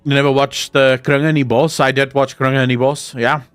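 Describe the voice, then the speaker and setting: A man's voice: mid-range voice, steady tone, unhurried, a bit bright, faint music behind. A young man from South Africa with an Afrikaans accent, a native Afrikaans speaker, streaming live and chatting casually with his viewers. He rants and jokes and swears freely. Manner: profane, ranting and joking.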